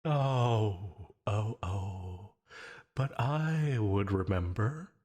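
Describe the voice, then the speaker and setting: Gleefully menacing male demon, played by a man, possessive and taunting, ASMR audio drama; extremely drawn-out delivery, wide swings in pitch. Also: lively intonation, breathy edge, full character voice. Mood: ominous musing. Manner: slow, drawn-out taunting with dark chuckles. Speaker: voice actor in audio roleplay. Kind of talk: ASMR yandere roleplay, dramatic monologue.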